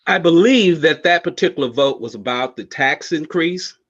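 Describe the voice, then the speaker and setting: A man's voice: on the high side, animated, fairly bright tone, slightly rough, dull audio. A middle-aged man, an incumbent candidate, answering a board's question at length with many fillers and restarts. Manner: verbose, halting with many fillers.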